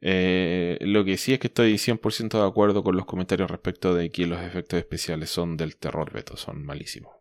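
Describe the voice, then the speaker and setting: Guy with a cold, kinda hoarse and low, chatting on a podcast, dry sound. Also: fairly even intonation, fairly quick, few pauses, no room sound. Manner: chatty, addresses partner by name often. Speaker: podcast co-host. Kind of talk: casual comics chat.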